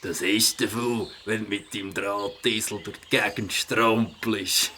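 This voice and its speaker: An actor with a Swiss German accent playing a gruff, mocking, boastful moped rider in a children's audio drama, with a gravelly, sneering drawl. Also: male voice, fairly low pitch, fairly even intonation, a little slow, sharp tone, slight background noise.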